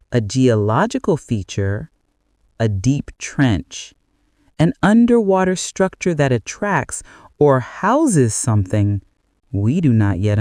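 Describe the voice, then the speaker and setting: Slow, expressive male mystery narrator. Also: medium pitch, dry recording, clean studio sound. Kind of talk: scripted bedtime mystery story narration.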